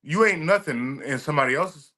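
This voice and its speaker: A man with a bright, forceful voice talks casually as a podcast co-host. He tells stories emphatically and swears along the way, stressing his points hard. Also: fairly high pitch, expressive, brisk. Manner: emphatic, profane, storytelling. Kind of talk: casual chat.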